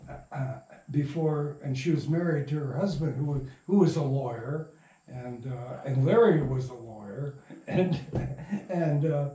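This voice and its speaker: Slow, halting older man in a roomy space. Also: mid-range voice, dark tone. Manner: halting, rambling, self-interrupting. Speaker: interviewee, radio play writer and producer. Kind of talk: reminiscing in a casual interview. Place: room in a house. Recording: roomy echo, distant from mic.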